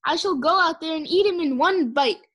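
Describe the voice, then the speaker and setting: Woman acting a small, high-voiced young queenly dragon. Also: normal speed, fairly bright tone. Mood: grandly theatrical. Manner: hesitant, then theatrically self-important. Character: small, nervous young female dragon playing pompous queen.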